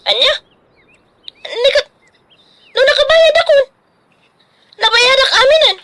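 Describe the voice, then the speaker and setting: An actress with an Ilocano accent playing a greedy, scheming, bossy daughter in a radio drama, pushy and exclamatory. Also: female voice, extremely high pitch, expressive, a little slow, sharp tone, heavily acted. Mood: indignant, defensive.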